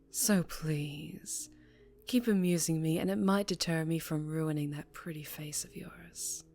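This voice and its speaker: Woman acting a low, slow, menacing mafia boss woman. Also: no echo, exaggerated voice. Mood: mocking menace. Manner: teasing, taunting, softly threatening. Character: dangerously charming, menacing female mafia boss. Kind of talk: scripted ASMR roleplay monologue.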